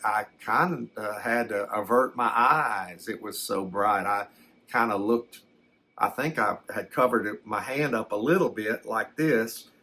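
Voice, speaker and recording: A man voicing a folksy storyteller who recounts a frightening encounter in a halting, rambling ASMR style, often stammering and backtracking. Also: on the low side, sharp tone. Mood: earnest, puzzled. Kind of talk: first-person ASMR storytelling.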